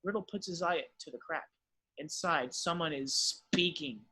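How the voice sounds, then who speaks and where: A man's voice: a little high, measured pace, bright, forceful tone, husky. A man reading screenplay stage directions as narrator in an amateur table read.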